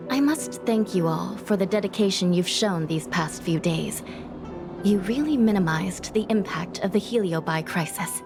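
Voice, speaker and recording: A woman's voice: mid-range voice, close to an everyday voice. A woman playing a composed, dutiful and reserved judge in an anime-style video game. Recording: background music under the voice.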